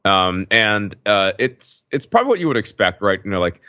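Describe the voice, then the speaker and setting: A man's voice: on the low side, big pitch swings, normal speed, pushed and bright, clean tone, no echo. A middle-aged American man talking casually as a podcast panelist. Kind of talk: casual chat. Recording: dull, slightly lo-fi microphone.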